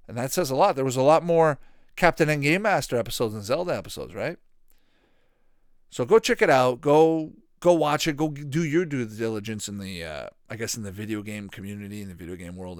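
Male podcast host, thirties, mid-pitched. Informal, loose, repetitive solo commentary, recorded dry with no room sound. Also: no echo. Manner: rambling, repetitive, informal with swearing. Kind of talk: casual solo commentary on retro cartoons.

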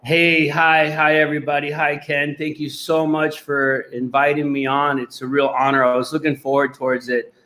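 A man's voice: a little high, little pitch movement, normal speed, hardly any pauses, bright, forceful tone. A man from Puerto Rico, a podcast guest telling his life story in an earnest, rambling way. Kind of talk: casual interview.

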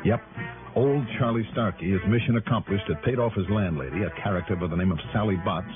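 A man's voice: lowish voice, fast talker, close to an everyday voice. A man playing a wry, world-weary, hard-boiled investigator narrating his case in an early 1960s radio drama. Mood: wry narration. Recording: muffled old radio broadcast, music.

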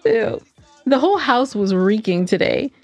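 A woman's voice: on the high side, very animated, normal speed, gravelly, no echo, soft background music. A woman co-hosting a casual podcast, telling stories in a giggly, teasing way. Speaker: podcast co-host. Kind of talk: casual teasing banter and storytelling.

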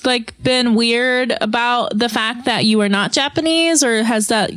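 A woman's voice: a little high, a little slow, a bit bright. A woman hosting a podcast, asking curious questions and reflecting hesitantly with many fillers. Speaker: podcast host. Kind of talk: casual podcast conversation.

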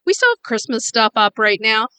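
Bright, chatty woman, slightly congested; an upbeat podcast co-host at a studio table. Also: a little high, big pitch swings, moderate pace, smooth. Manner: chatty, upbeat, laughs easily. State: congested, recovering from illness. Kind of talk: casual chat. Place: small home studio, at a table.

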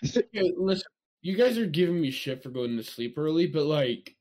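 Brash young man with a lively, jumpy voice. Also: on the high side, moderate pace. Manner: brash, joking, mock-boastful, swings loud and quiet. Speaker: podcast co-host. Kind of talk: casual chat among friends.

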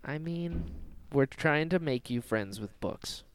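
A man co-hosting a casual fan discussion, animated and jokey, acting out quotes as he goes. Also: on the high side, slow pace, a little hiss or hum. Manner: animated, jokey, mimics characters in exaggerated voices. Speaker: podcast co-host.

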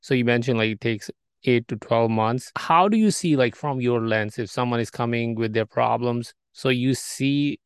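A man interviewing a guest, asking halting questions full of fillers and restarts. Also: medium pitch, clean tone, no room sound, clean studio sound.

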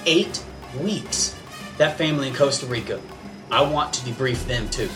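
A man playing a gruff, distrustful navy captain in an audio drama, with music behind him. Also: medium pitch, moderate pace, close to an everyday voice. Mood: brisk commanding.

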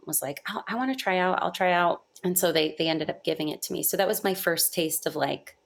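A woman's voice: lowish voice, brisk. A woman telling her career story in a casual interview, chatty, filling her talk with "like" and "you know" and laughing often. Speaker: musical theater performer, guest.

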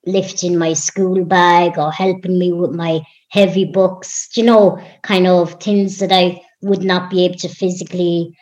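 A young woman from Ireland, a guest in an interview, talking in an unhurried, warm way with frequent ums. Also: on the low side, little pitch movement, highs cut off. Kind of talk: casual interview conversation.

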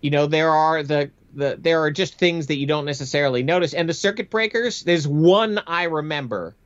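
A man's voice: fairly high pitch, normal speed, dull audio. A middle-aged American man, a former military aviator, telling his experiences conversationally as an interview guest in an emphatic, matter-of-fact way. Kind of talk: conversational storytelling.

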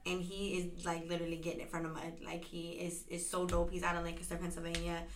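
A woman's voice: lowish voice, completely flat delivery, fast. An American woman guest on a podcast, chatting casually in emphatic slang. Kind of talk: casual chat. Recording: echoey room with faint noise.

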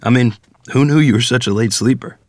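A man's voice: medium pitch, brisk, no echo, clean studio sound, natural voice. A man acting genial, wry men in a dramatic script reading of a period story. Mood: dryly teasing. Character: genial jazzman or wry rural ferryman father. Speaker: actor at a screenplay table read.

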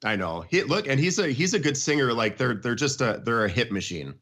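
A man's voice: mid-range voice, big pitch swings, fairly quick, fairly bright tone. A middle-aged man chatting casually about music in an enthusiastic, emphatic way.

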